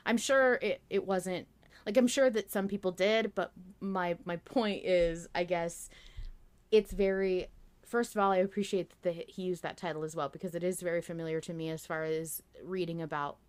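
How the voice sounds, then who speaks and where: A woman's voice: on the low side, steady tone, normal speed. A woman hosting a podcast in casual conversation, candid and informal, thinking aloud and often rephrasing herself. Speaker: podcast host.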